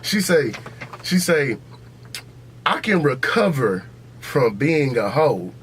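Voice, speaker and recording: A man's voice: mid-range voice, sing-song, takes it slowly, a bit bright, a little hiss or hum. An American man hosting a podcast, talking casually and emphatically with heavy slang and swearing, repeating his points. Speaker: podcast host. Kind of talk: casual opinionated podcast talk.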